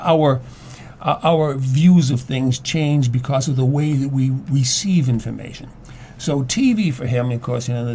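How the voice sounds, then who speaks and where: Mellow, halting man in casual discussion. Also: mid-range voice, slight background noise. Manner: halting, repeats words while explaining. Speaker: guest, former English teacher.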